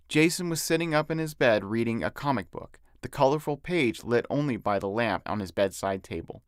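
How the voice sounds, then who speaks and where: A man's voice: mid-range voice, a bit bright, no echo, clean studio sound. A man reading his own novel as scripted audiobook narration.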